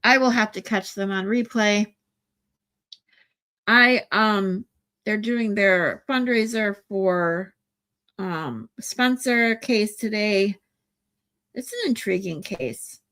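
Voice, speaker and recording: A woman in her forties hosts a laid-back livestream chat, her voice a bit stuffed up from congestion. She rambles along with lots of ums and false starts, her pitch sitting in an ordinary middle range. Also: slow, pauses often, bright, forceful tone. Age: 40s. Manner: rambling, many ums and restarts. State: stuffy nose. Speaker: livestream host. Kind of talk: casual chat with viewers.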